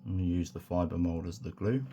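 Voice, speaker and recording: A man with a croaky voice from a sore throat, talking through a hobby tutorial in a relaxed, rambling way with many fillers. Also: deep voice, normal speed, noisy background. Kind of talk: casual hands-on tutorial.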